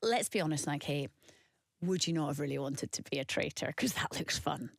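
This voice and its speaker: A woman's voice: sits low, brisk, gravelly, no echo, clean studio sound. A woman asking questions as interviewer in a casual conversation.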